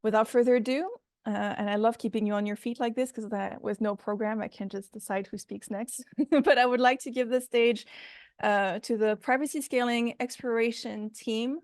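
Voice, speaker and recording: A woman with a fairly high voice hosts an online event informally. She introduces and hands over to others, and the sound is tight and dry with no room around it. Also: steady tone, normal speed, dry recording. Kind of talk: informal hosting of online meeting.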